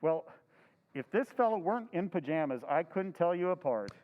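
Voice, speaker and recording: An actor playing a flustered, excitable henpecked husband in an old-time radio drama recreation. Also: male voice, high voice, normal speed, in a large hall, phone-quality sound.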